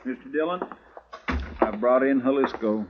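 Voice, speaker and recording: An actor playing an earnest, respectful frontier deputy in a 1950s radio western, plain-spoken and folksy, with an American accent. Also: male voice, medium pitch, normal speed, natural voice. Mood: grave, earnest. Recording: muffled old radio recording, noisy, clicks.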